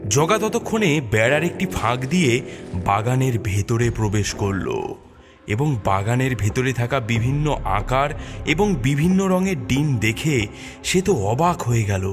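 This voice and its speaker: A man's voice: mid-range voice, expressive, a little slow. Male horror-cartoon storyteller over prominent music. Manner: suspenseful, scripted storytelling. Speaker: narrator. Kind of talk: dramatic cartoon story narration. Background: prominent background music.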